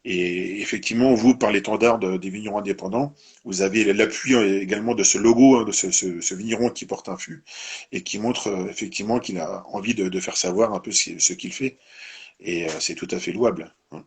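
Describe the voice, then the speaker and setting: Husky low-voiced French host. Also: male voice, a little fast. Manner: meandering, tags sentences with "hein". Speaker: host of a live winery presentation. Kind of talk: live-streamed interview.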